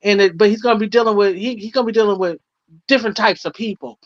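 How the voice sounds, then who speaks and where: A woman's voice: mid-range voice, fast. An American woman chatting casually as a panelist, on a dull online connection.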